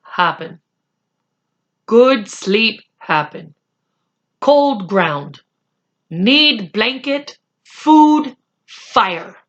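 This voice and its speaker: A woman reading a storybook acts out a tiny warrior man, fierce and demanding. He speaks slowly in short, broken commands, with big swings in pitch. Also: animated, lo-fi sound. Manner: terse, commanding broken sentences.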